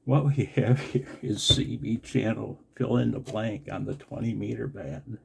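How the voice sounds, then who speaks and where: An older man from the United States in a casual, unscripted vlog as a radio operator, blunt and wry with a gravelly, husky voice. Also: fairly low pitch, steady tone, a little slow, heavy background noise. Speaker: amateur radio operator and video host. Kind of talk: casual, unscripted video commentary.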